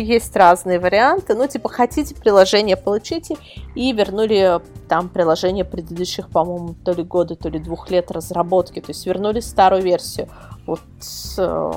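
A woman's voice: medium pitch, darkish tone. A woman speaking as a guest expert in a casual podcast interview, chatty and colloquial as she explains things. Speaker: guest expert, portal editor. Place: remote video call.

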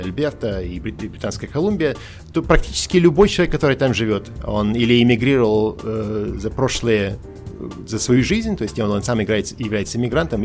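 A man in his forties from Russia, a podcast guest talking casually over a call, thoughtful and halting with many fillers and restarts. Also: on the low side, darkish tone. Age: 40s.

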